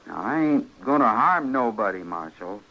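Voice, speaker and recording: An actor playing a strange, meek little drifter in a radio Western, with a halting, polite, folksy American Western drawl. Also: male voice, lowish voice, big pitch swings, creaky. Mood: meek, earnest. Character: strange, meek little drifter obsessed with his hanging rope. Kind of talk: old-time radio Western acting. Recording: muffled old radio recording with hiss.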